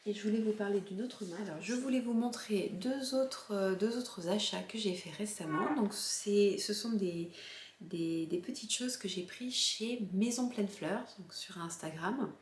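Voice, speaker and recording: A woman's voice: medium pitch, a little slow, echoey room, a little hiss or hum. A woman from southern France hosting a knitting podcast, showing her purchases in a casual, hesitant way with many fillers and restarts. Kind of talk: casual show-and-tell chat.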